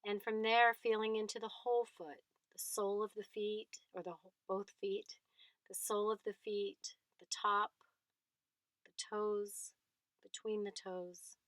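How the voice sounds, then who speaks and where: Female meditation guide, very slow delivery, gentle phrasing with generous pauses, dry studio-like sound. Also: medium pitch, little pitch movement, no echo. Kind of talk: guided body scan meditation.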